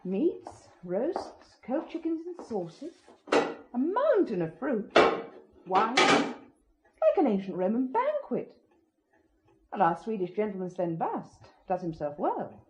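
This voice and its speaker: An actress playing an uneasy but witty newlywed wife in an audio mystery drama, speaking in genteel, dramatic phrases. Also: female voice, mid-range voice, wildly swooping pitch, takes it slowly, pauses often, heavy background noise. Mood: wondering, arch.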